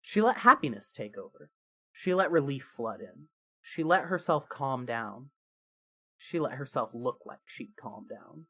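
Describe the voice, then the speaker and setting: A narrator reading scripted fan fiction as a dramatic story, with deliberate pauses. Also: medium pitch, expressive, sharp tone.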